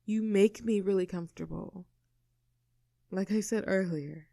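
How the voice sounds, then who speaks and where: A woman's voice: mid-range voice, gravelly, dark tone, no echo, clean studio sound. A woman playing an easygoing young tomboy with a secret crush in an intimate audio roleplay, casual, teasing and tender in manner. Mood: tender, shy. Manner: casual, teasing, tender, halting when flustered.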